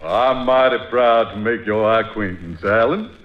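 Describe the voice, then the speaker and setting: A man's voice: fairly low pitch, a little slow, natural voice. A man playing a courtly, pompous, easily outraged middle-aged Southern colonel with an American Southern accent in an old radio comedy, on a dull, echoey recording. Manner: pompous, indignant. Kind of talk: 1940s radio comedy acting.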